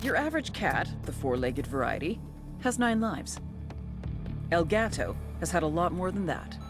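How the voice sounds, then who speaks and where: Female intel agent, sardonic, hard-boiled, animated pitch, scripted game narration, background music. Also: normal speed. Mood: sardonic, storytelling. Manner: wry, foul-mouthed, hard-boiled. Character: tough, sardonic female intelligence agent briefing on cartel bosses. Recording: music behind the voice.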